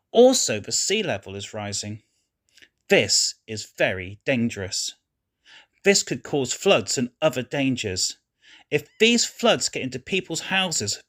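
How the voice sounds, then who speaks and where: Man reading with very lively pitch swings. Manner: expressive, deliberate read-aloud delivery. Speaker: reader of a children's story. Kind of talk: scripted story narration for children.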